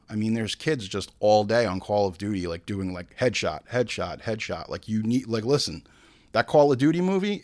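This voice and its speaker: A man hosting a show with casual, opinionated film commentary, swearing freely and acting out imagined bits of dialogue. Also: lowish voice. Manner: informal, frequent swearing. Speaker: show host.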